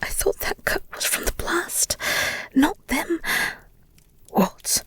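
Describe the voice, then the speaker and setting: A woman performing an audio roleplay as a protective, devoted werewolf girlfriend, speaking in an earnest, tender, halting way with a breathy whisper. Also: very high-pitched, big pitch swings, fairly bright tone, exaggerated voice. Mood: worried, fiercely protective.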